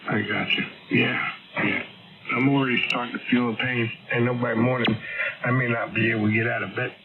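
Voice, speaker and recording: A man's voice: mid-range voice, fairly even intonation, brisk. An older man chatting on the air as a ham radio operator, rambling with many fillers. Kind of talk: casual on-air chat. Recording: tinny radio signal under loud static.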